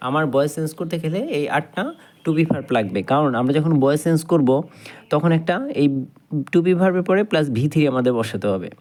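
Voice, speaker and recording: A man's voice: fairly high pitch, expressive, a little fast, darkish tone. A man with a Bangladeshi accent giving an informal grammar lesson, explaining step by step and often repeating and rephrasing his points. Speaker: English grammar teacher.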